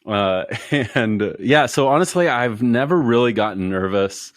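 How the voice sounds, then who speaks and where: A man with a deep voice, a guest on a podcast, talking in an easygoing, jokey and self-deprecating way. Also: lively intonation, moderate pace, dry recording, clean studio sound. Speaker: podcast guest, retail consultant. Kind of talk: casual interview chat. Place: Houston.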